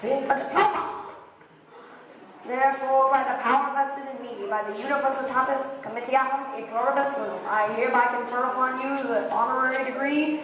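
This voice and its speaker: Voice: high-pitched, fairly even intonation, a bit bright. A performer playing a kindly, bumbling humbug wizard with mock-grand speechifying in a school stage play. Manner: speechifying, mock-grand, halting when flustered. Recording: distant echoey hall, faint noise.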